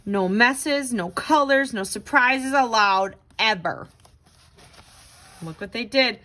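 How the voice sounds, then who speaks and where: Animated, high-voiced woman reading a storybook. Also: slow pace. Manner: expressive, dramatic, exclaiming. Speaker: storybook reader. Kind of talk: animated children's picture book read-aloud.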